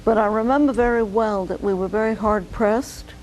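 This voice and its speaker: An older woman, a physician, telling her life story slowly and thoughtfully in an oral history interview. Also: mid-range voice, darkish tone, slight background noise, rough recording. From United States. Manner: measured, reflective storytelling.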